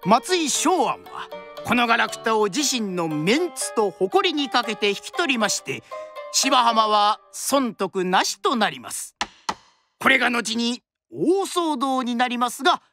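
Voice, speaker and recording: Animated woman storyteller narrating over music. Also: fairly high pitch, unhurried. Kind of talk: dramatic scripted narration in traditional Japanese storytelling manner.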